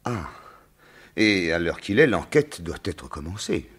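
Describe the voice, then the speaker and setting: An actor playing an authoritative, melancholy baron of about fifty, formal and imperious yet halting, in a French radio mystery in 1950s style. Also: male voice, low-pitched, big pitch swings, a bit bright, breathy edge, full character voice. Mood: concerned, shocked.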